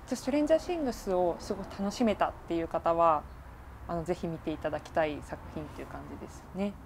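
A woman's voice: on the low side, very fast, slight background noise, poor audio. A woman in her thirties hosting an online interview in a polite, attentive way. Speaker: host, interviewer.

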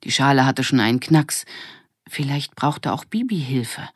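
A woman's voice: rumbling low, expressive, a little slow, husky, no room sound, clean studio sound. A woman narrating a children's audiobook in a scripted storytelling style.

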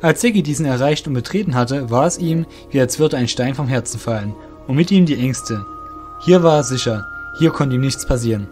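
A man's voice: mid-range voice, brisk, darkish tone. A man narrating a scripted fantasy story as the narrator of an audio drama. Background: music.

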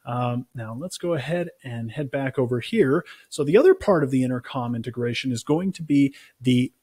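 A man in his thirties giving a casual, conversational software demo as a customer support presenter, recorded on a close mic. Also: mid-range voice, lively intonation, moderate pace, breathy edge, no echo. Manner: informal, conversational explaining.

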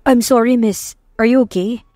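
A woman's voice: a little high, normal speed, warm tone, dry recording, studio quality, natural voice. A Filipino woman voicing a flustered, concerned young businessman in an audio love story, asking anxious questions. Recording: music behind the voice.

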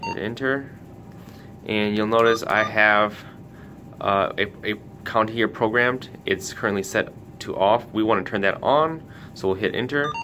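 Man, low voice, casual how-to host, step-by-step and cautioning, noticeable rumbly background noise. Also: unhurried, bright, forceful tone. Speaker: tutorial host for a retailer. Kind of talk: casual hands-on product tutorial.

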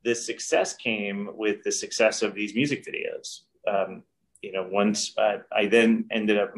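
A middle-aged man speaks in a lowish, unhurried voice, reflecting earnestly and often restarting his sentences. He is talking from a room at home over a webcam call. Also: fairly even intonation, low-quality recording. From New York. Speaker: guest director. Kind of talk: casual interview. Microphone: video call webcam.

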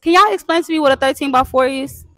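Bright, high-voiced young woman interviewer. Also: dry recording. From Texas. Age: young adult. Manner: upbeat, repeats phrases quickly. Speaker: street interviewer. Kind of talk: casual street interview. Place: outdoors in a busy city square and inside a store. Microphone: handheld phone mic.

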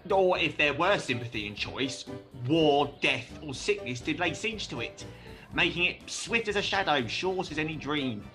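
Actor playing ardent young Lysander, animated and bright. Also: male voice, fairly high pitch, moderate pace, soft background music. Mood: tender, lamenting. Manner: impassioned, theatrical verse delivery. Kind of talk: Shakespearean radio play acting.

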